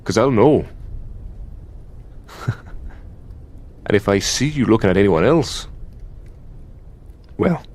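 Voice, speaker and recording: An Irish elder demon boyfriend , played by a man, speaks in a fairly low voice. He is exasperated and possessive, mocking in a halting, conversational way with plenty of swearing. The delivery is that of an intimate ASMR roleplay monologue. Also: expressive, a little mellow. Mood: possessive, bargaining.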